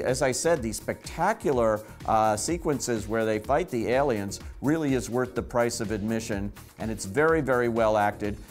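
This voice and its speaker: Guy doing a chatty movie review, film critic type, bit of music underneath. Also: medium pitch.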